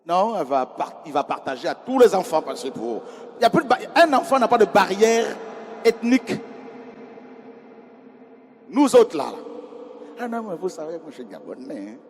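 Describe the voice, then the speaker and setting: A man's voice: sits high, sing-song, normal speed, long pauses, slight background noise. Man, preacher, sermon, conversational, asks the congregation questions, long hall reverb. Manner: conversational, questions the congregation, swings from quiet to loud cries. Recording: hall reverb with faint ambience.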